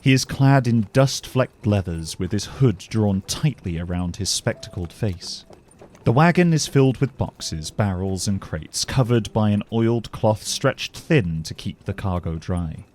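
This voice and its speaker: A man narrating a scripted fantasy story as a measured, descriptive storyteller. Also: fairly low pitch, animated, a little mellow, a little hiss or hum.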